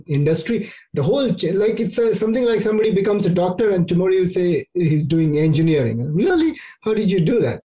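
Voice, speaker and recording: A man's voice: on the high side, warm tone. A man hosting a podcast, a career coach who asks long, rambling questions with lots of fillers and eager reactions. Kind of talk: conversational interview.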